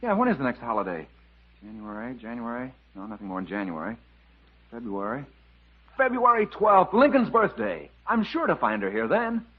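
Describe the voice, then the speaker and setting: A man's voice: medium pitch, wildly swooping pitch, normal speed, slightly rough, exaggerated voice. An actor playing an eager, scheming showman in a 1950s radio musical comedy. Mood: impatient, eager. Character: scheming, eager showman pursuing a dancing partner. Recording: muffled, narrow-band 1950s radio recording with music.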